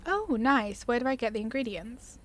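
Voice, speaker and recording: Chatty woman gamer, slightly high voice. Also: expressive, moderate pace, fairly bright tone. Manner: easily sidetracked, enthusiastic, informal. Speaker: gaming video creator. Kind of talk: casual chatty gameplay commentary, reading game dialogue options aloud.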